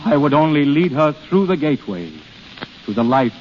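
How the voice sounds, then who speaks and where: An actor playing Death in human guise, a proud and anguished prince, in formal, theatrical 1930s radio drama style. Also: male voice, on the high side, sing-song, moderate pace, slight background noise. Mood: grave, pleading. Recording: muffled 1930s radio broadcast with long reverb.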